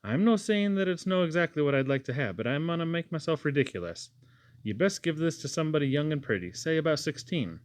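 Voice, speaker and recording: A man voicing a fussy, warm-hearted housewife in a storybook, with an emphatic, homely scolding manner. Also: on the high side, expressive, fast, pitched above the performer's own voice, close to an everyday voice. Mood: plain, modest.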